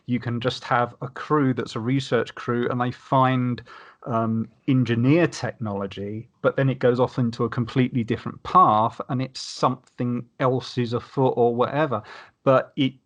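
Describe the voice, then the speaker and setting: A man's voice: mid-range voice, steady tone, moderate pace, fairly bright tone. A man chatting casually as a podcast panelist, explaining things in a rambling, enthusiastic way.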